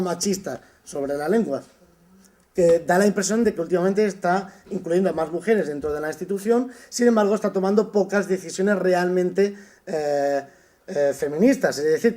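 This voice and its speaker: Male university lecturer from Spain, high pitch, unhurried lecture with frequent hesitations. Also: mellow. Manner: thoughtful, with frequent "eh" pauses and restarts. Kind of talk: summer course lecture.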